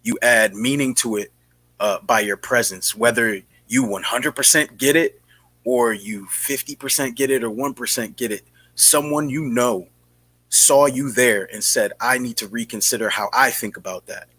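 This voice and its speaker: A man with an American accent talking earnestly as a podcast co-host, casually and with pauses to find his words. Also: medium pitch, pushed and bright, breathy edge, no echo, rough recording.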